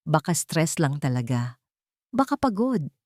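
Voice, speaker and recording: A woman's voice: low voice, sing-song, measured pace, darkish tone, no room sound, clean studio sound, full character voice. Woman voicing a worried, doubting businessman son. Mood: worried, doubtful.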